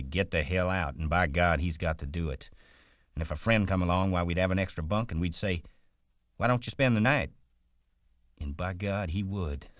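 A man voicing a ranch worker who talks in a rustic drawl, brisk and rolling. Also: low voice, no echo, studio quality, natural voice. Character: wiry male ranch worker, guarded and dreaming.

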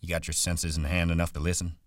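A man voicing a blunt, authoritative ranch hand with rough rural speech in a story reading. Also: sits low, level tone, fast, dry recording, studio quality, natural voice. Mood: firm.

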